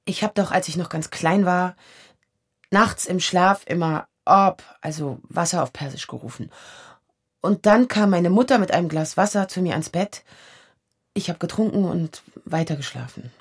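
A low, strongly husky female voice for a radio drama. She is a woman in her thirties, vulnerable and searching, speaking in a natural, breathy way. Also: normal speed. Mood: haunted, remembering. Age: 30s. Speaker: lead actor.

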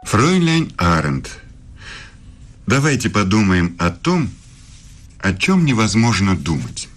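Slow, ponderous philosophy professor. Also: male voice, on the low side, big pitch swings, slight background noise. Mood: ponderous, instructive. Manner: deliberate, didactic. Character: male philosophy professor, ponderous, commanding lecturer. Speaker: actor in a radio play. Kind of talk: dramatic lecturing.

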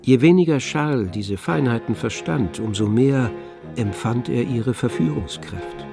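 Casting: male literary narrator for radio play, medium register, composed and measured, set against musical backing. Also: mid-range voice, animated, gravelly, over music.

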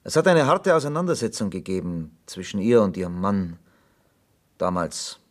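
An actor playing a patient, probing investigator in a German radio play, measured and insistent. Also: male voice, deep voice, expressive. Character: patient, probing investigator questioning a farm boy.

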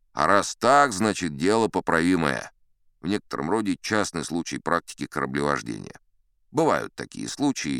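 Old sea captain, audiobook storytelling, boastful, dramatic, deep, very dry close sound. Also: male voice, clear, resonant tone, dry recording. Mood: pleased, confiding. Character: old sea captain telling tall tales, boastful, unflappable.

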